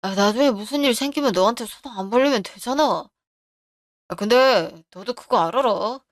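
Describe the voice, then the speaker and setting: A woman's voice: a little high, moderate pace, no room sound, clean studio sound, close to an everyday voice. A woman voicing a brash, selfish husband who brushes off his wife's worries. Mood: dismissive. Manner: dismissive, snapping.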